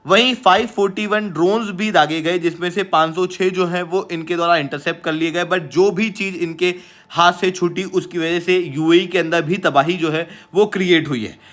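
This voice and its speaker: A man's voice: high-pitched, fairly even intonation, moderate pace, a bit bright. An Indian man presenting as an online teacher, explaining current affairs emphatically and mixing in English phrases.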